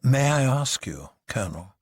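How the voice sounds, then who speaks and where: Guy doing a serious curious gentleman, abrupt polite questions, bit raspy, dry studio sound. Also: medium pitch, sing-song, moderate pace, dry recording. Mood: curious, low.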